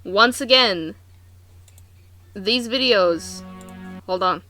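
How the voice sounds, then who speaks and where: Bright-voiced woman reacting casually to videos. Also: medium pitch, very slow, soft background music. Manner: animated, exclamatory, slangy.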